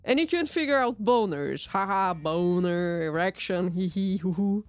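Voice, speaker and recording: A woman whose first language is Portuguese narrates a drawing video in a relaxed, wry and self-deprecating voice-over. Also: on the low side, unhurried, smooth, dry recording, soft background music. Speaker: artist and channel host.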